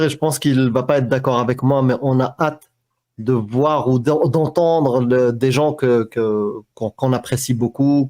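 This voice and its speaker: A man's voice: medium pitch, dark tone. A man speaking hesitantly and politely in a casual live-stream debate. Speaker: debate participant.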